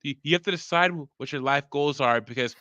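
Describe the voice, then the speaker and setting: Man with a bright, tense voice and a halting, lecturing delivery. Dry lo-fi call audio. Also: fairly high pitch, clean tone, dry recording. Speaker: live stream participant. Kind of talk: casual group discussion. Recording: dull lo-fi mic.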